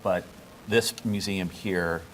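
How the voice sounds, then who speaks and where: Deep male voice, casual interview, broken-off sentences, clear background noise. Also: steady tone, slow pace, a bit bright, low-quality recording. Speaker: museum interviewee. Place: on location in a museum. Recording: clear ambient noise on location.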